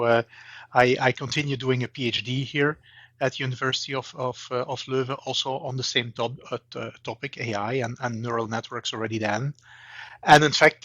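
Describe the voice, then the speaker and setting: A man's voice: mid-range voice, fairly bright tone, no room sound. Interview guest, man, forties, Belgian. Hesitant, many restarts, dull dry audio. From eastern Belgium. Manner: hesitant, repeats words, many fillers. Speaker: guest, banking AI expert. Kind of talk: interview answers.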